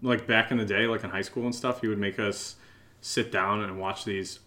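A man's voice: on the low side, steady tone, moderate pace, bright, forceful tone. A man co-hosting a casual question-and-answer chat, rambling hesitantly through loosely strung thoughts. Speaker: podcast co-host and audio drama writer.

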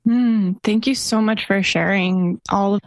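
A woman's voice: mid-range voice, steady tone, measured pace, no echo. Polite woman interviewer, dry sound. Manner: polite and encouraging, thanks often. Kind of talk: conversational interview hosting.

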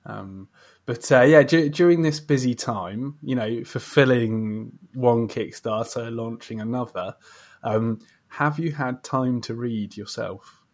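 A man's voice: medium pitch, slow, a little mellow. Encouraging male podcast host, casual chat. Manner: encouraging, effusive with praise. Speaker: podcast host interviewing a guest.

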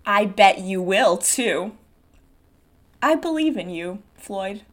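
A woman's voice: medium pitch, expressive, slow. A woman reading the part of a kindly, admiring young woman in a stage play. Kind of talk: script read-through of a play.

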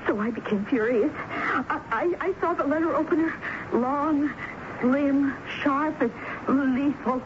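A scorned, defiant woman, speaking slowly with dramatic, emphatic pauses. Her voice is high and impassioned, heard through a noisy old radio broadcast with a narrow sound. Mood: brooding, anguished. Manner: theatrical, halting, emphatic phrasing. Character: jilted, defiant woman confessing to murder, proud and impassioned. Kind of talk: vintage radio drama acting.

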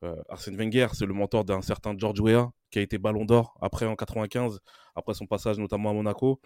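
A man's voice: on the low side, steady tone, fast, a little mellow, clear, resonant tone, dry recording, clean studio sound. A man giving admiring opinions as a podcast panelist, building his points through emphatic repetition. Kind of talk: admiring opinion in casual discussion.

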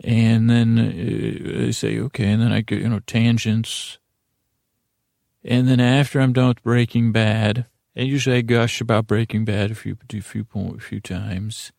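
Gravelly, creaky-voiced man rambling softly at bedtime. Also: on the low side, flat delivery, no room sound, clean studio sound. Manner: rambling, halting, self-interrupting with trailing tangents. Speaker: podcast host. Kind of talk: meandering bedtime podcast monologue.

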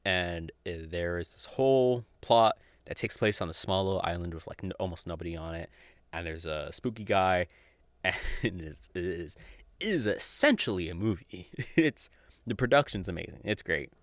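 Male podcast host, lower register, informal and enthusiastic, giggly delivery, very dry recording. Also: lively intonation, normal speed, dry recording. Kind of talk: casual solo podcast chat, recommending shows.

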